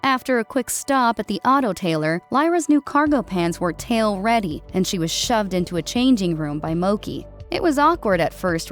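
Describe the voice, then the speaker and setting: A woman narrates a story in a scripted audiobook style. Her voice sits at a middle pitch, with faint music playing behind it. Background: background music.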